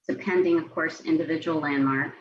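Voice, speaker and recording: An American woman speaking as a city staff member, formally reading out procedure and agenda items at a public online meeting. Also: deep voice. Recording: muffled online meeting audio, faint noise.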